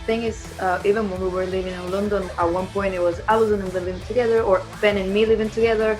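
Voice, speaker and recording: A woman talks casually as a musician in an interview. Her pitch is mid-range and her delivery is loose and chatty, with faint music behind her. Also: steady tone, fast, a little mellow. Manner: informal, loosely strung, chatty. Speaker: band singer and songwriter being interviewed. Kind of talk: casual chat.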